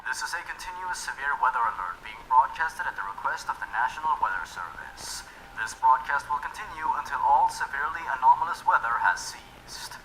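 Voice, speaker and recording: Male narrator doing a whispered robotic emergency alert, flat and unrelenting. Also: mid-range voice, fairly quick, hardly any pauses, background noise, natural voice. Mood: ominous, commanding. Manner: flat, whispered official announcements. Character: cold machine emergency-alert voice, relentless.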